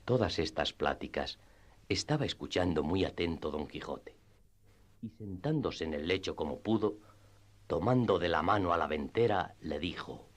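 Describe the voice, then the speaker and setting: Deep-voiced male storyteller. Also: animated. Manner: measured, formal storytelling. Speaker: narrator. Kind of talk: literary radio-drama narration.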